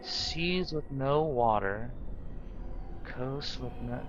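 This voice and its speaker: A man role-playing and narrating over his video game in a deliberate, halting way. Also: mid-range voice, expressive, over music. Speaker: gaming video host and role-player. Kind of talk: role-play narration and commentary over gameplay. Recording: dull lo-fi mic, clear background noise.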